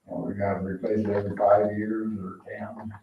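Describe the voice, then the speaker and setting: A man's voice: lowish voice, flat delivery, moderate pace. A man on a board informally asking practical questions during a meeting. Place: indoor town board meeting room. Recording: muffled lo-fi, echoey room, distant mic, noise.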